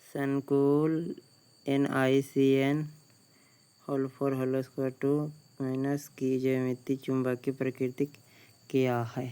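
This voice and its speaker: A man, a Bihari Hindi speaker, reading out exam questions in a slow, flat way, repeating each question before giving the answer. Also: medium pitch, smooth. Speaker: exam tutor.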